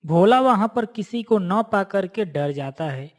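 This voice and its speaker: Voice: medium pitch, expressive, a little slow. A narrator telling a scripted moral story in storytelling style.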